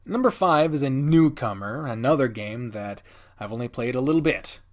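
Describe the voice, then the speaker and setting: A man's voice: medium pitch, animated, moderate pace. A man hosting a casual, enthusiastic countdown video, speaking emphatically and dramatically with playful asides and some swearing. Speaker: host of a game video channel. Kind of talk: casual, enthusiastic top-ten countdown commentary.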